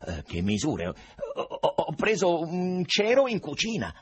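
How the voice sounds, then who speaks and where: Audiobook role, played by a male narrator: indignant male poet, high and hoarse-edged, agitated, stammering outbursts. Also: wildly swooping pitch, unhurried, full character voice. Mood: agitated, insistent. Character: frantic, indignant male poet held in an asylum.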